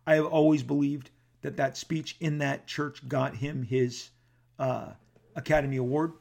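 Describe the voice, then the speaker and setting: Man, gravelly husky voice, casual rambling talk, stops and restarts a lot. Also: mid-range voice, flat delivery, unhurried, a little mellow. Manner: rambling, with many restarts and fillers. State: gravelly, clearing his throat. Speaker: comic book artist.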